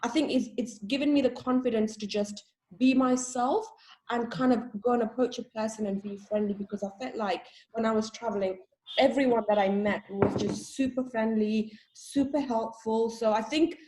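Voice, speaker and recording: A young woman from Sri Lanka answers interview questions in a loose, chatty way. She is candid and quick to laugh at herself. Her voice sits a little high. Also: fairly even intonation, warm tone, rough recording. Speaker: interview guest. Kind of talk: casual interview.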